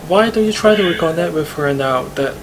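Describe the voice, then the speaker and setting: An earnest, sensible young visual novel hero, voiced by a man, easily flustered. His voice sits a little high, and game music plays clearly behind it. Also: expressive, a little fast, close to an everyday voice, low-quality recording. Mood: earnest, concerned. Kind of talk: live visual novel read-aloud.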